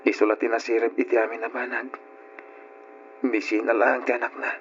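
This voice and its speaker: Slighted young son played by a man, radio drama: hesitant, resentful, medium pitch, northern Philippine accent, muffled sound. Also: flat delivery, a little fast, a bit bright, natural voice. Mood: hurt, resentful. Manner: aggrieved, hesitant. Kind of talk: Ilocano radio drama acting. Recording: dull, noisy old radio recording.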